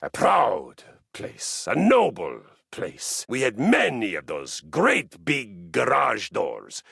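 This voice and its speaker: An actor playing a boastful, violence-loving treadmill in a video game, with a pompous, theatrical delivery. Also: male voice, medium pitch, wildly swooping pitch, takes it slowly, gravelly, a bit bright, slightly rough, no room sound, clean studio sound, exaggerated voice. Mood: solemn, proud. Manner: pompous, grandiloquent, bombastic. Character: boastful Norwegian treadmill, honor-bound violence-loving warrior. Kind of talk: theatrical game character acting.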